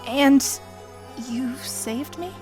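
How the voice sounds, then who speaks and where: A young woman playing an excitable, earnest young detective in a video game fan dub, with expressive, peppy delivery. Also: sits high, very slow, soft background music. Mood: pensive.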